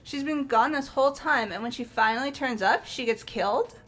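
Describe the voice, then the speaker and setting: A woman playing an excitable, plain-spoken teenage schoolgirl in a fan-dubbed video game, casual and questioning. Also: high voice, brisk. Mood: confused. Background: game music.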